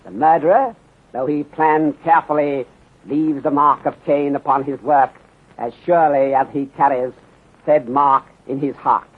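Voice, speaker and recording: A calm detective inspector with a put-on Chinese accent, speaking in measured, formal, clipped sentences. His husky voice comes through a narrow, phone-like 1930s radio recording. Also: fairly high pitch. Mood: sage, reflective.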